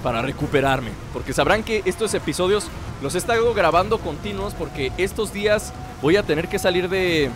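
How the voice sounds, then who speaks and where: Young Mexican gamer, stuffy from a cold, high and animated, jokey let's-play host. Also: male voice, fairly quick. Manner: playful, jokey, bursts into excited shouts. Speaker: gameplay commentator. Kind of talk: casual let's-play commentary. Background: game music.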